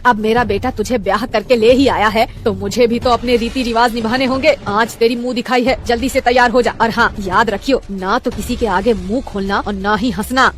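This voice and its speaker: A woman's voice: fairly high pitch, lightly acted. A woman voicing a scolding, mocking mother-in-law in a cartoon story. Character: scolding middle-aged mother-in-law, sharp-tongued. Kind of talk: cartoon voice acting.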